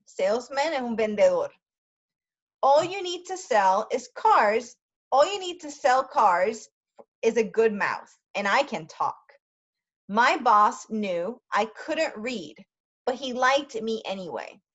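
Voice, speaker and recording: A woman teacher reading a story aloud for language learners, pausing often to explain words in quick asides. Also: fairly high pitch, very animated, a bit bright, dull audio.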